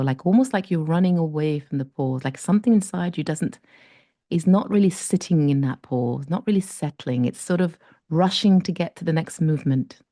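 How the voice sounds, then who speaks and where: A woman with a low, mellow voice leads a breathing meditation. She talks slowly and softly and leaves long pauses between phrases. The sound is close and dry. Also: no room sound. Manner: slow, soft and gentle, with long pauses. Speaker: meditation guide.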